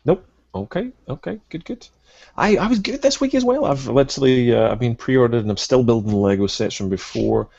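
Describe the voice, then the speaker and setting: A man's voice: medium pitch, expressive, brisk, vocal fry, warm tone, no echo. A man co-hosting a collecting podcast in casual chat, agreeable and enthusiastic about details. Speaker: co-host of a toy collecting podcast. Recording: dull, muffled lo-fi audio.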